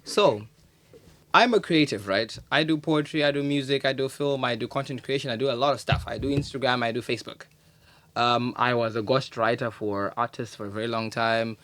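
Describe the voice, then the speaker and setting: A man talks casually as a podcast guest, reflective and halting, often doubling back on his words. His voice sits in the middle range. The recording is close and dry, with no room sound. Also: medium pitch, fairly bright tone, no room sound. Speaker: podcast guest, poet and creative.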